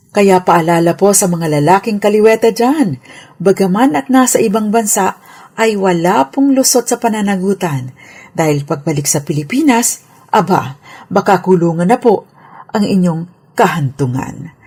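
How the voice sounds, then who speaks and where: A woman's voice: medium pitch, darkish tone, slightly rough. A woman with a Filipino accent giving a prepared radio legal explainer, formal yet conversational, dropping in English legal terms. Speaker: lawyer and radio legal commentator.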